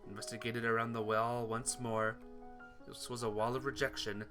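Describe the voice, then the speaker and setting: A man's voice: mid-range voice, level tone, moderate pace, music in the background. A man narrating a visual novel playthrough, reading the story text aloud.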